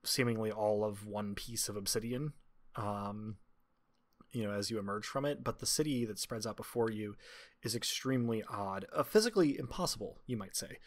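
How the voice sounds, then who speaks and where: A man's voice: on the low side, moderate pace. A man improvising narration as game master of a tabletop roleplaying game, with descriptive, wordy, literate phrasing.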